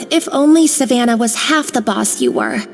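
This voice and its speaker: A loyal young kitchen worker from a video game, earnest and outspoken. Her voice sits high and sounds worried. A long reverb trails it with faint music underneath. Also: wildly swooping pitch, a little slow.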